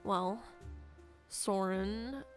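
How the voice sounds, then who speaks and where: A woman acting as a troubled young camp girl in a game roleplay, confiding in a hesitant, halting way. Her voice sits at a middle pitch and often trails off. Soft music plays behind her. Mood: confiding, uneasy. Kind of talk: improvised Minecraft roleplay dialogue.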